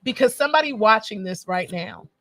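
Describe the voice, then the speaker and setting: A woman's voice: mid-range voice, animated, a little fast, fairly bright tone, no echo, soft background music. A woman hosting a casual talk show, informal and bantering, encouraging her guest. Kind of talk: casual interview chat.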